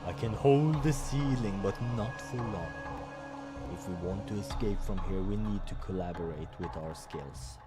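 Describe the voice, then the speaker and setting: A man's voice: lowish voice, normal speed, voice pushed down, lightly acted. A man voicing a big, strong doctor and adventurer in a visual novel, firm, commanding and reassuring, over music. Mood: urgent, calm. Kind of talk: visual novel character acting. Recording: music behind the voice.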